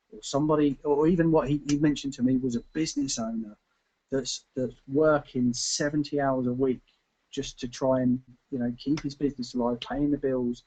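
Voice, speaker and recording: A man co-presenting a webinar with an informal, testimonial sales pitch, emphatic and repetitive, in halting phrases. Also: darkish tone. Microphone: online webinar call. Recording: dull lo-fi online call audio.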